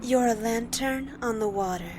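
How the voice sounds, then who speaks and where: An actress playing a calm, tender elf woman in an audio drama, speaking in a hushed, soothing, hypnotic manner. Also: on the high side, normal speed, noisy background, lightly acted. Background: music.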